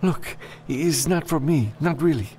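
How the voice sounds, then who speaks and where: A man voicing a desperate enslaved father who pleads haltingly for help in an audiobook story. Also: a little high, lively intonation, darkish tone, slight background noise, voice pushed up. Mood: desperate pleading.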